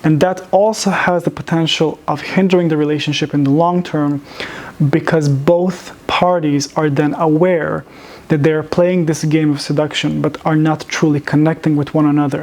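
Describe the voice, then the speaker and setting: A man from Serbia giving a public talk, measured in pace, often restarting his phrases. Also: a little high, creaky, a little mellow. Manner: measured, with frequent restarts and fillers. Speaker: public speaker. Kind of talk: lecture presentation.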